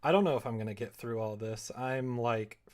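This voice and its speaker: A man's voice: mid-range voice, a little fast, darkish tone. A man chatting casually on a livestream while he works, rambling and thinking aloud in a self-deprecating way. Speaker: livestreamer making a visual novel.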